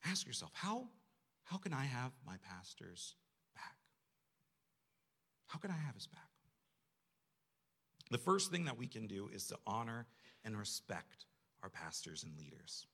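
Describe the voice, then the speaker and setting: A man's voice: mid-range voice, big pitch swings, measured pace, pauses often, bright, forceful tone, breathy edge. A man preaching a sermon to a congregation in a conversational, self-deprecating way, often posing rhetorical questions. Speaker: church staff member preaching.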